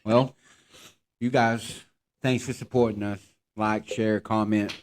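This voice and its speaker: A man's voice: on the low side, steady tone, takes it slowly. A man chatting casually as a podcast co-host. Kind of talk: casual chat.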